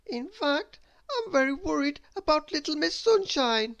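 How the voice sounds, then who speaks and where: A woman voicing an anxious, fretful man in a storybook, high and wavering. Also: sing-song, measured pace, fairly bright tone, exaggerated voice. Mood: excited, fretful. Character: anxious fretful male Mister Worry.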